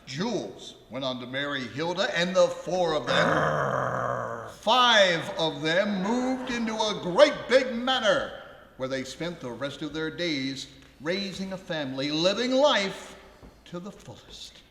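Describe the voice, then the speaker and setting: A man working as a radio host, narrating a live radio play with theatrical, deliberate delivery. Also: fairly high pitch, big pitch swings, gravelly, fairly bright tone, a little hiss or hum. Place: large ballroom, live stage. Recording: echoey ballroom with long reverb.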